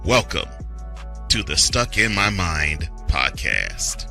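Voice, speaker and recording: A male announcer reads a scripted promo in a low, very forceful voice. His delivery is highly animated, swinging up and down with punch. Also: slow, gravelly, faint music behind.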